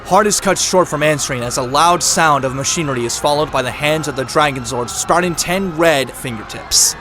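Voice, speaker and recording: A man's voice: fairly high pitch, brisk, music in the background. A man giving dramatic scripted narration for an audio drama.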